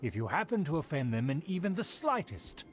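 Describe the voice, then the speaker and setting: An actor playing a haughty young male aristocrat who speaks in a formal, pompous, drawn-out way, heard as game audio. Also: on the high side, very animated, faint music behind. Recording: game audio with clear noise.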